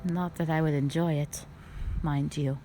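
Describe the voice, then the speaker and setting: A woman playing a stern, calm, duty-bound dragon guardian, speaking formally and solemnly in a scripted roleplay audio drama. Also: low voice, soft background music. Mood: stern, resolute. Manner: measured, solemn, formal phrasing.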